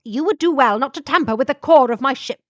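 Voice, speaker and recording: A woman's voice: high voice, fast, dry recording, highs cut off, voice pushed up, natural voice. A woman voicing a proud, somewhat arrogant male vampire professor who explains things emphatically in a sci-fi story. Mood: warning.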